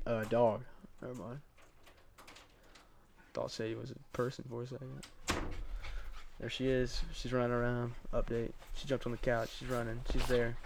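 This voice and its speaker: A man's voice: medium pitch, gravelly, darkish tone, a little hiss or hum. A young man co-hosting a casual podcast, laid-back and chatty, dropping "like" and "bro" often. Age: young adult. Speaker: podcast co-host. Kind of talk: casual chat.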